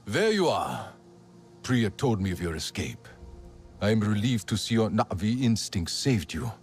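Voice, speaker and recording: An actor playing a grave, vengeful male alien warrior in a video game, speaking in a formal, solemn and deliberate way. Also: on the low side, sing-song, soft background music. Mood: solemn concern. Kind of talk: video game character acting.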